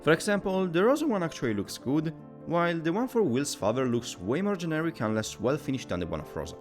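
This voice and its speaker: A man hosts a scripted review, speaking quickly with a very lively, rising and falling delivery. His pitch sits in an average male range, and light music plays behind his narration. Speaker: video game reviewer, channel host.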